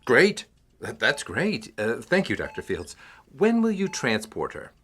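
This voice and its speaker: An actor playing an earnest, slightly awkward hospital doctor in an audio drama, hesitant and deferential. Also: male voice, mid-range voice, huge pitch swings, unhurried, fairly bright tone, breathy edge, full character voice. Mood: uneasy, deferential. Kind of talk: scripted audio drama acting.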